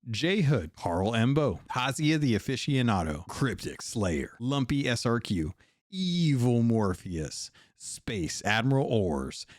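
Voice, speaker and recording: A man hosting a podcast in a casual, rambling way, hoarse from a cold and often correcting himself. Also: mid-range voice, no room sound, studio quality. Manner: rambling, self-correcting, thankful. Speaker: podcast host.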